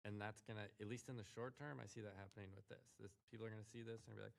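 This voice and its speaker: A man on a planning board voicing concerns at a meeting in a deliberate, cautionary way. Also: fairly low pitch, fairly even intonation, creaky. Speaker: board member. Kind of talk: public meeting discussion.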